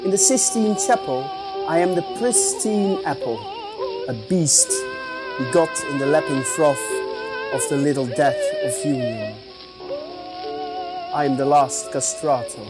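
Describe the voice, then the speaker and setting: A man performing poetry in a dramatic, measured, declamatory style. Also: a little high, huge pitch swings. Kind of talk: theatrical filmed poetry recital. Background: loud music.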